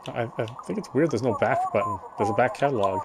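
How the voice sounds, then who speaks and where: A man reading a visual novel's text aloud as dramatic narration, solemn and a little halting. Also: medium pitch, animated, over loud music. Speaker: game player reading story text aloud.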